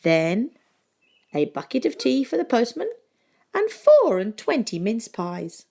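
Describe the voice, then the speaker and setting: A woman reading a children's picture book aloud in an expressive way, with dramatic pauses and emphasis. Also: on the high side, gravelly. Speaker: storybook reader.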